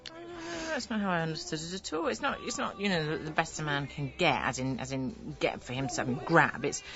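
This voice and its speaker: Frank, teasing young wife and mum, notably low voice, radio comedy, slightly muffled audio. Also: female voice, lively intonation, brisk. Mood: correcting. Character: exasperated but loving, sharp-witted young wife and mother.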